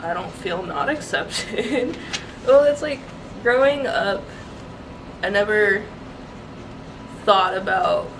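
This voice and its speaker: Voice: mid-range voice, lively intonation, takes it slowly, pauses often. A young person answering interview questions in an easygoing way, often laughing. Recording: distant mic with loud background noise.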